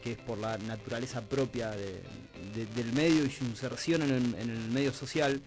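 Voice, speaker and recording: Mid-pitched man in radio discussion. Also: fairly even intonation, moderate pace, darkish tone, faint music behind, low-quality recording.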